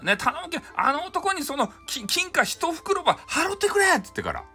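A man's voice: extremely high pitch, wildly swooping pitch, fairly quick, bright, forceful tone, soft background music, voice pushed up, exaggerated voice. A man voicing an anxious, pleading townsfolk parent in a storybook tale, with a Hakata accent. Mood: desperate pleading. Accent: Hakata Japanese.

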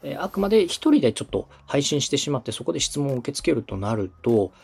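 A man from Osaka hosting a podcast consultation, explaining things politely and methodically with drawn-out hesitations. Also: mid-range voice, big pitch swings, gravelly, darkish tone, breathy edge. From Osaka, Japan. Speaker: podcast host, radio director coaching a client.

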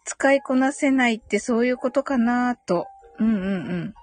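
A woman's voice: fairly high pitch, moderate pace, faint music behind. A woman hosting a live audio stream, chatting casually and politely as she reads and answers comments, with frequent agreeing hums and giggles.

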